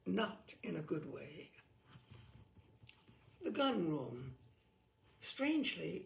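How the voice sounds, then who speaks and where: A woman's voice: medium pitch, gravelly, reverberant, a little hiss or hum. A woman reading a humorous mock guidebook aloud in an arch, theatrical, knowing manner. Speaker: storyteller reading a book aloud.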